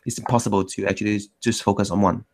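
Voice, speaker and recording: A low-pitched man hosts an online language meetup, and his voice carries a gravelly fry. He chats loosely, often breaking off and restarting his sentences. It sounds like a computer mic on a call. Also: little pitch movement, quick pace. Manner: loose, self-correcting, many restarts. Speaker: meetup host. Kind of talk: casual chat.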